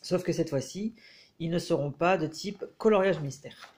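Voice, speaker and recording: A man with a head cold giving a casual, hesitant review of a book as he leafs through it, pausing often. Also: fairly high pitch, a little mellow. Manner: hesitant, frequent filler pauses.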